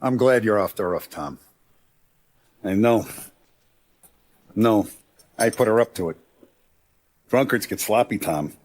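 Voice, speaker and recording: An actor playing an older Italian-American mafia boss in a video game, paternal, calculating and ruthless, with measured, streetwise authority. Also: male voice, on the low side, lively intonation, a little mellow. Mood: quiet, paternal. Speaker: crime boss character. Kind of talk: cinematic video game voice acting.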